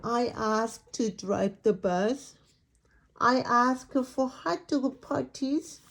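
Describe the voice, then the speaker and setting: A woman's voice: a little high, exaggerated voice. A woman voicing a dramatic, complaining storybook pigeon with a whiny, emphatic delivery. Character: indignant, dramatic pigeon who complains and pleads. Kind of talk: picture book read-aloud character.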